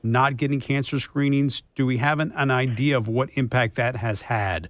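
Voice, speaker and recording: A man hosts a news-talk radio show in a voice of medium pitch. He talks and questions in a loose, conversational style. The sound is dry, as if close to a studio microphone. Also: fairly even intonation, normal speed, a bit bright, no room sound.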